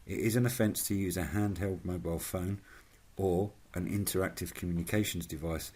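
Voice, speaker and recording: A man with a husky voice reading a scripted narration as a channel host, in a formal, measured manner. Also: deep voice, steady tone, warm tone.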